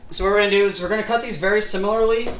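Higher-voiced man chatting in an echoey kitchen. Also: steady tone, very fast, a bit bright. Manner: informal, sweary, reads viewer comments aloud. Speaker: host of a cooking livestream. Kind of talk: casual livestream chat while cooking. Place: home kitchen, indoors. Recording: roomy kitchen, clear background noise.

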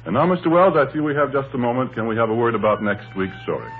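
A man's voice: mid-range voice, fast talker. Tinny 1930s male radio announcer. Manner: formal, polished. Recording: tinny, band-limited 1930s radio broadcast. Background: faint music.

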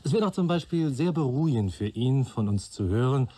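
An actor playing an analytical police assistant in a radio play, methodically reasoning step by step. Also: male voice, mid-range voice, expressive, a little fast, dark tone, slightly rough.

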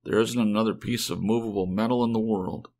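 A man's voice: on the low side, steady tone, fairly quick, darkish tone, close to an everyday voice. A man voicing a weary, desperate male druggist who mutters in a strained way. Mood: defeated.

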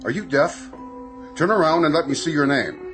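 An actor playing a stern, impatient department store manager in a radio drama, formal and authoritative. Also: male voice, a little high, lively intonation, normal speed, loud music behind the voice, close to an everyday voice. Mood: irritated.

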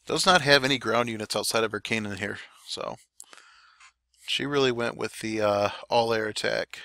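A man giving relaxed, chatty play-by-play commentary over game replays, his throat a little dry. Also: on the low side, moderate pace, gravelly, dry recording. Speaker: clan war recap commentator.

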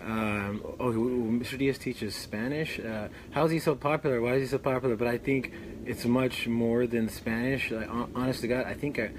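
A man's voice: mid-range voice, steady tone, moderate pace. Young guy hosting, chatty, mid voice, outside, faint hiss. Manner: chatty, laughs along, fillers. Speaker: show host. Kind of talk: casual interview chat. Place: outdoors under a tree.

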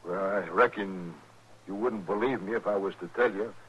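Husky, halting cattle rustler. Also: male voice, fairly low pitch, a bit bright. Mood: sheepish, hesitant. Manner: halting, rustic drawling grammar. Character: sheepish, hesitant cattle rustler of old West. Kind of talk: 1950s radio drama acting. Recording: dull 1950s radio recording.

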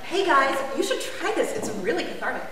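A woman reading the part of an excitable young play-by-play announcer cheerfully calling violent brawls at an informal table read. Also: high voice, a little fast. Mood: upbeat. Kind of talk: informal script table read, sports-commentary delivery. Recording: echoey room with faint noise.

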